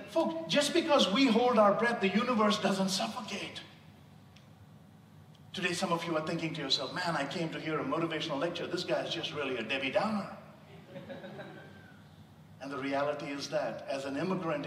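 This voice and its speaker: An impassioned man with an Indian accent delivers a sermon-style speech, his voice bright and a little high. He swells into loud emphatic peaks. The sound is distant and echoey, as if picked up from across a large hall. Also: a little hiss or hum. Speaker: motivational speaker.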